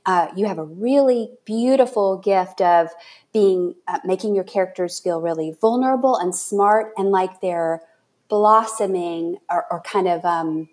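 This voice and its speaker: A woman's voice: medium pitch, a little slow, a little mellow. A woman hosting a podcast in a casual, effusive way, feeling around for the right words and correcting herself as she goes. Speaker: podcast host. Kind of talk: casual interview chat.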